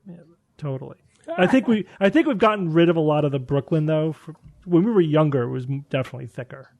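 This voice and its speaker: Casting: podcast host, man, Southwestern Connecticut accent, rambling chatty delivery, slightly high voice, dry close mic. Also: lively intonation, fast talker, creaky, darkish tone, no room sound. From Connecticut, USA. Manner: rambling, chatty, restarts often. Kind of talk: casual panel chat.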